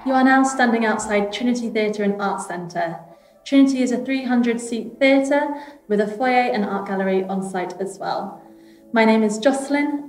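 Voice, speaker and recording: A woman's voice: mid-range voice, darkish tone. A woman with a British accent narrating an audio tour as a theatre arts manager and guide. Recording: echoey room.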